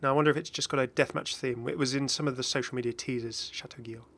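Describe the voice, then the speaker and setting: A man's voice: medium pitch, fairly even intonation, racing, fairly bright tone. A man hosting a gaming video with casual, chatty commentary that drifts into hesitant asides. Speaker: video host, game content creator.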